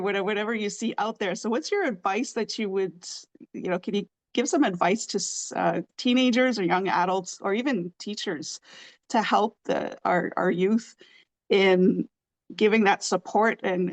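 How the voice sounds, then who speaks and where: A woman hosting an interview, her voice in a middling pitch. She asks long, meandering questions, restarting herself and laughing softly here and there. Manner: rambling, restarts, soft laughs. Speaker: podcast host. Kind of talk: casual interview conversation.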